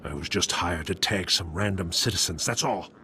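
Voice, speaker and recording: An actor playing a shady alien bounty hunter in a video game, curt, defensive and matter-of-fact, with some background ambience. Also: male voice, deep voice, normal speed, sharp tone, slight background noise. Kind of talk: dramatic video game acting.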